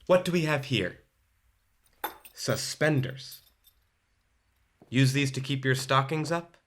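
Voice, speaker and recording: A man's voice: mid-range voice, slow pace, a bit bright. An actor playing an officious, insinuating policeman who bullies with bureaucratic rules in a radio play. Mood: smug, insinuating.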